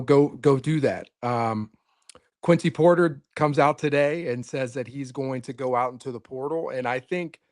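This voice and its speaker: A man's voice: mid-range voice, dry recording, clean studio sound. A man co-hosting a casual sports podcast, talking earnestly and rambling, often restarting his sentences. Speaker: co-host, coach.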